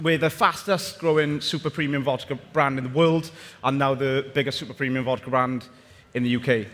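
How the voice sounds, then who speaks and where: A man's voice: mid-range voice, fairly bright tone. A young man with a strong Welsh accent from Swansea, chatting casually through a founder's talk with slides, often restarting his sentences. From Wales. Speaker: company founder giving a talk. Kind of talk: conference presentation with slides. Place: large conference hall. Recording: long hall reverb.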